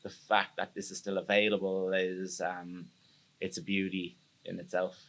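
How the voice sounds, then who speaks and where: A young man with an Irish accent and a deep, low voice. He talks earnestly in a loose, rambling way and often restarts his sentences. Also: monotone, moderate pace, fairly bright tone. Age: young adult. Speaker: podcast guest. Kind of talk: casual interview chat.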